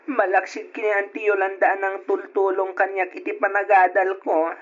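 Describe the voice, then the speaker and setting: A man's voice: high-pitched, level tone, normal speed, slight background noise, natural voice. A man playing a devoted, earnest young son in an old-style radio drama. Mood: earnest, hopeful. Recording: dull lo-fi radio drama audio.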